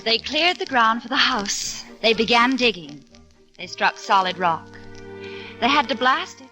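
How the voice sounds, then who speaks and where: A woman's voice: a little high, lively intonation, unhurried, pushed and bright. An actress playing a wry wife narrating her family's misadventures in a 1940s radio play. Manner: wry, storytelling. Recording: old radio broadcast with music.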